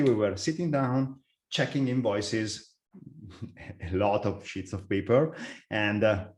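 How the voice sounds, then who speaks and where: A middle-aged man with an Italian accent talks through a live software webinar. His voice sits a little low. He often stops to restart a phrase or fill a pause with an "uh". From Italy. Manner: frequent fillers and repeated restarts. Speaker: software architect, webinar speaker.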